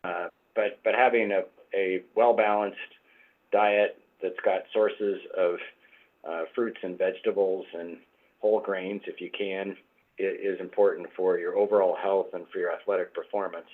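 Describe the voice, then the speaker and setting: Guest doctor, a man with a husky, cold-roughened voice, patient and explanatory, over a tinny line. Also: low voice, level tone. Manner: measured, explanatory, frequent fillers. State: hoarse from a cold. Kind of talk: expert explanation in interview.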